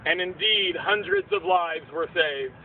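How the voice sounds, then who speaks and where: A man playing a storybook bard, narrating a puppet show in measured, declamatory verse. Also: high-pitched, huge pitch swings, creaky, exaggerated voice. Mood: solemn. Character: storybook bard narrator telling a seaside legend. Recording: tinny outdoor recording, faint noise.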